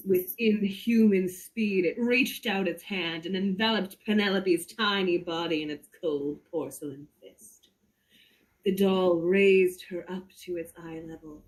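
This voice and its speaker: A woman reads a horror story aloud theatrically, drawing out suspense with deliberate pauses. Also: on the low side, rough recording. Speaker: performer reading a story aloud. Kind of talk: slow dramatic reading of a horror story.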